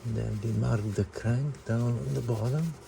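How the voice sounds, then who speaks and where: A man with a medium-pitched voice talks at an unhurried, slow pace, like a vlogger showing viewers around a job. He explains things step by step in a casual way and addresses the audience directly. Clear ambient and vehicle noise sits behind him. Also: steady tone. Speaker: vlog host. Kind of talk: casual hands-on vlog talk to viewers.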